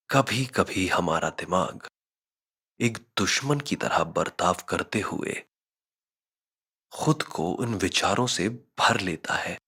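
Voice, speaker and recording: Guy doing guided meditation, super slow step by step instructions, bright forward voice but kinda raspy. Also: low-pitched. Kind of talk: scripted guided meditation narration.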